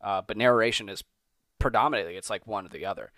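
A man's voice: on the low side, fast, fairly bright tone, no echo, clean studio sound. A man hosting a podcast interview, talking in long, rambling, enthusiastic stretches. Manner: rambling, enthusiastic, repeats himself. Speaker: podcast host.

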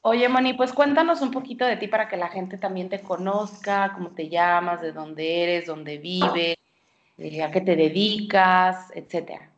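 A woman's voice: on the low side, measured pace, a little hiss or hum. A woman co-hosting a podcast, chatting in a friendly, eager way and asking the guest questions. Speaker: podcast co-host. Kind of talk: casual podcast chat. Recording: slightly dull lo-fi audio.

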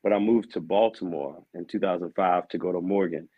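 A man in his thirties talks through his music life in a relaxed way, often saying "man" and laughing. His voice sits very low. He sounds like a guest on a casual podcast. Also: brisk, breathy edge, phone-quality sound. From Aberdeen, Maryland. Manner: easygoing, laughs often, says "man" a lot. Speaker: guest, vocal coach and assistant pastor.

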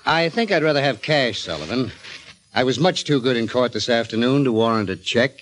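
A man's voice: medium pitch, expressive, a bit bright, slight background noise, natural voice, low-quality recording. Man acting a shrewd, threatening crooked lawyer. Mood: sardonic, cool. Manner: deliberate, sardonic, threatening. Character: shrewd, menacing male crooked lawyer demanding his cut. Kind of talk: 1940s radio drama acting.

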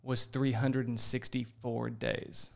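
Earnest gravelly young man telling his story. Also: medium pitch, level tone, slow. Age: 20s. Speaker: guest. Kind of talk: casual testimony on a podcast.

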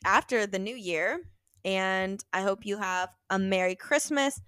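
A woman's voice: mid-range voice, measured pace, a bit bright, clear, resonant tone, no echo, clean studio sound. A young adult woman co-hosting a casual podcast chat, laughing often as she talks. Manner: giggly, chatty, laughs often. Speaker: podcast co-host.

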